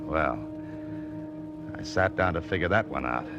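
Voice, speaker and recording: Deep-voiced wry hardboiled American adventurer. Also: male voice, a little slow, noisy background. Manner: wry, terse, slangy. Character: cool, wry, tough male adventurer narrating his exploits. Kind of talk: 1940s radio mystery acting, hardboiled narration. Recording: old radio recording, music behind, muffled.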